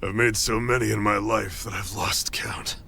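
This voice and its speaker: Gravelly, world-weary captive rebel. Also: male voice, lowish voice, steady tone, sharp tone, a little hiss or hum, full character voice. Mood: weary, remorseful. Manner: somber, halting, world-weary. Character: weary, regretful captive rebel, a husband and father. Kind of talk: video game cutscene acting.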